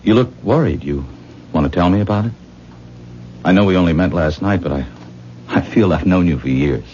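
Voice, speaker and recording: A man's voice: deep voice, animated, fairly quick, darkish tone. An actor playing a modest, star-struck ordinary man in a 1970s radio drama, speaking hesitantly and earnestly. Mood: friendly, admiring. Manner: hesitant, self-deprecating, earnest. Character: self-doubting, star-struck ordinary man confiding in a woman. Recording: muffled, noisy 1970s radio broadcast.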